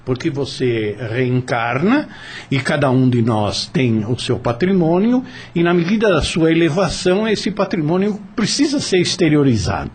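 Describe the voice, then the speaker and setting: An older Brazilian man hosting a radio panel discussion, speaking haltingly and repeating words as he explains. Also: a little high, sing-song, breathy edge. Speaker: radio host.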